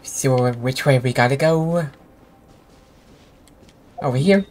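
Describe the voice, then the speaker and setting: A woman's voice: a bass voice, slow pace, a little mellow, a little hiss or hum. A woman giving casual, offhand commentary while playing a video game. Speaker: gameplay streamer.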